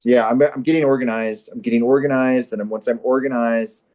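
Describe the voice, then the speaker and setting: A man's voice: medium pitch, fairly even intonation, fairly quick, a little mellow, natural voice. A man playing a middle-aged struggling actor in a comedy, boastful and defensive, talking in a hesitant, rambling, earnest way. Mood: earnest self-justifying. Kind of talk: improvised-sounding comic dialogue.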